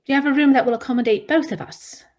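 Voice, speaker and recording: A woman's voice: high-pitched, rapid-fire, a little mellow, close to an everyday voice. A woman playing a blunt, protective survivor who breaks rules, speaking directly and informally in a drama table read. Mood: protective, frank.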